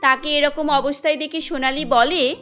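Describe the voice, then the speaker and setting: A woman's voice: high voice, steady tone, normal speed, sharp tone, soft background music. A woman narrating a scripted cartoon story as a storyteller.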